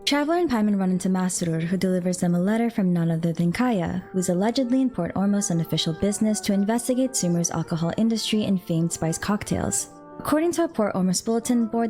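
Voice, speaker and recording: A woman presenting a game lore recap in brisk scripted narration. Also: medium pitch, a little mellow.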